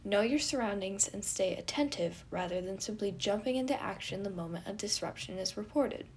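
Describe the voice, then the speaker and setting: Woman as a deadpan, bookish young adviser. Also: medium pitch, brisk, a little hiss or hum, lightly acted. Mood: advising. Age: teenage. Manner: deadpan, formal, precise wording. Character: dry, logical, bookish teenage adviser with wry wit.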